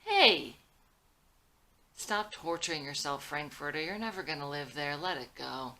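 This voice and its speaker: A woman's voice: deep voice, very animated, measured pace, sharp tone, exaggerated voice. A woman voicing a blunt, teasing seventeen-year-old boy in a dramatic script reading. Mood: teasing, exasperated.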